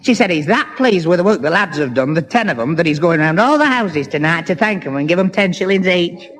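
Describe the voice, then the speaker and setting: A gormless young Northern English man, with a high voice that swoops about. He is muddled and stammering but good-natured, in the manner of 1960s radio comedy. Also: animated, fairly quick, a bit bright. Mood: eager, muddled. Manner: gormless, stammering, confused, giggly. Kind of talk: 1960s radio sitcom acting.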